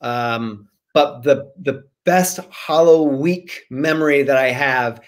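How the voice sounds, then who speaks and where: Animated American man telling stories. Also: medium pitch, slow. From Rhode Island, United States. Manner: animated, gesturing, playful, rambling. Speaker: guest author and screenwriter. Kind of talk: casual storytelling in an online interview. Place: small home room, indoors. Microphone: computer mic on a video call.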